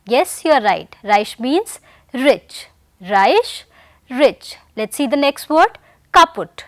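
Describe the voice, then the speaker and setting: A woman's voice: sits high, big pitch swings, slow, no room sound. A woman teaching a German vocabulary lesson in English, patiently repeating each word and sentence and calling her learners dear friends. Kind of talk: recorded language lesson.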